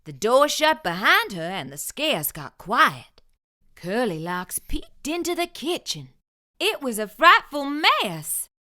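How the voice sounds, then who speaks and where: A woman hosting a story time and reading a picture book aloud, expressive and sing-song. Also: high-pitched, slow. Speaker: storyteller host.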